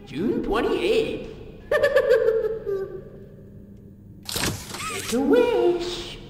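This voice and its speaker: A creepy, cheerful cartoon rabbit toy from a game. The voice is high and very slow, with a gleeful sing-song delivery. It rings through a distant hall with music behind. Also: female voice, huge pitch swings, heavily acted. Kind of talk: playful game character lines.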